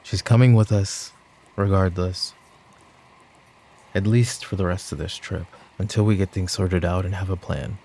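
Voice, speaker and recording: Man acting as a low, gravelly, controlling mafia boss. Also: fairly even intonation, mellow, slight background noise. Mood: firm, warning. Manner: commanding, deliberate, menacing. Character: stern, controlling mafia boss and protective father. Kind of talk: roleplay ASMR acting.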